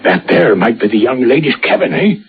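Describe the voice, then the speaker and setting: An actor playing a rough common sailor, deferential but defensive and colloquial, in a radio drama. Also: male voice, medium pitch, sing-song, brisk, close to an everyday voice. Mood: tentative. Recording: dull radio recording, faint music behind.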